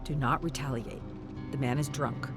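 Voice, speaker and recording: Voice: medium pitch, steady tone, moderate pace, loud music behind the voice. A narrator delivering a dramatic scripted fantasy story in a solemn, suspenseful manner.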